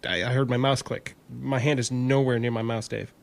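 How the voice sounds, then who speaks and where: A man's voice: mid-range voice, little pitch movement, normal speed, creaky, natural voice. A man playing an overworked, irritable and suspicious lab worker in an audio drama, in a casual, questioning manner. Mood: alarmed. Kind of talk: naturalistic audio drama acting.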